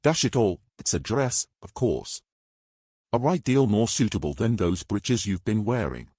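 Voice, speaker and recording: A bossy, roguish treasure-hungry man from a fantasy audio tale, acted by a man. He speaks in brisk, old-fashioned commands with a very animated delivery, recorded dry. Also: fairly low pitch, slightly rough, dry recording, full character voice. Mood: impatient, commanding. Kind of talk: fantasy audio story character acting.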